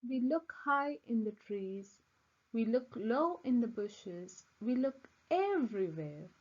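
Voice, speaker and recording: A woman reading a picture book aloud for young children, with simple, deliberate, expressive phrasing. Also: on the high side, breathy edge, muffled recording. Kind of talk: scripted storybook read-aloud for young children.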